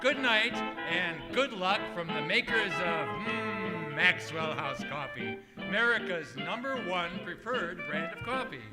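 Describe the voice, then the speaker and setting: Male announcer, old-time radio style, unhurried and rather high, with very loud music behind. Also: animated, poor audio. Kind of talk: 1940s radio announcing, reading cast credits. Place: live stage in a museum hall. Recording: loud music, live stage recording.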